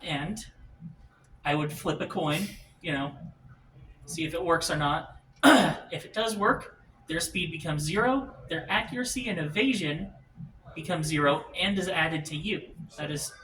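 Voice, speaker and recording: A man's voice: on the high side, a little slow, bright, forceful tone. A man acting as game master, casually explaining rules at a tabletop game. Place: indoor room, group game table. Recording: roomy, far from mic, faint noise.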